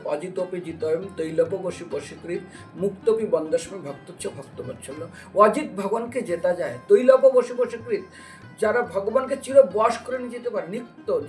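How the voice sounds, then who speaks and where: High-pitched male preacher, distant mic. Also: a little mellow. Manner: explanatory, with rhetorical questions and acted-out dialogue. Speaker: religious preacher giving a devotional lecture. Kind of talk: devotional storytelling sermon with recited scripture verses. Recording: distant microphone with music behind.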